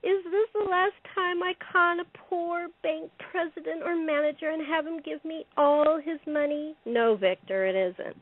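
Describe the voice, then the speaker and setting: A woman's voice: extremely high pitch, moderate pace, hardly any pauses. A woman playing a cunning, taunting con artist and blackmailer in an audio play, with a theatrical, gloating delivery. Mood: mocking. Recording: thin phone-like line.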